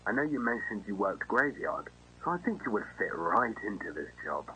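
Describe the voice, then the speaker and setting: A man's voice: on the low side, big pitch swings, moderate pace, pushed and bright, low-quality recording. A man playing a friendly, casual restaurant manager reassuring a job applicant in an acted phone conversation. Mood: upbeat, reassuring. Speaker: voice actor in an analog horror video. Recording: faint noise and hum.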